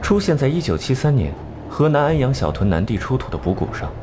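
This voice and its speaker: An actor playing an aloof young genius detective who explains things in a measured, condescending way in a Chinese animated drama. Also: male voice, medium pitch, noisy background, natural voice. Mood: grave, knowing. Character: cool, aloof male genius detective and occult scholar. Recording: music behind the voice.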